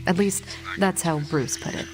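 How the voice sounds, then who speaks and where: An actress playing a confident, easygoing American superhero in a video game, with a wry, casual manner. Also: female voice, lowish voice, fairly even intonation, moderate pace, music in the background, natural voice. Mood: proud, playful. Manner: wry, casual, self-assured. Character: confident, easygoing superhero woman, ex-Air Force pilot. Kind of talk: video game dialogue acting.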